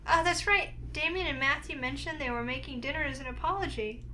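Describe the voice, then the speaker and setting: A woman with a high, bright voice reads a story game aloud. She moves between narration and acted dialogue for the heroine. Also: brisk, a little hiss or hum. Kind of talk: reading a visual novel aloud, narration and heroine's lines acted.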